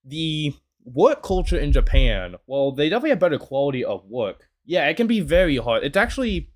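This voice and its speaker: 20 yr old guy rambling thru a reaction vid, lots of "you know", voice kinda high and all over the place. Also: sing-song, a little fast. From United States. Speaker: YouTube reactor. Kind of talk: casual reaction commentary.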